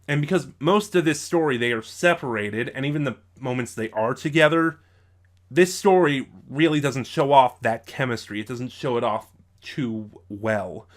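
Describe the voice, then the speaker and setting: A man records an unscripted review in a medium-pitched voice. He talks haltingly, as if working out his opinion aloud, and often breaks off to rephrase a sentence midway. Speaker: YouTube audio drama reviewer.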